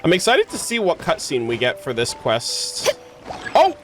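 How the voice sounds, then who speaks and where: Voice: medium pitch, huge pitch swings, normal speed. A streamer giving casual playthrough commentary, slangy and reactive, talking to chat. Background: game music.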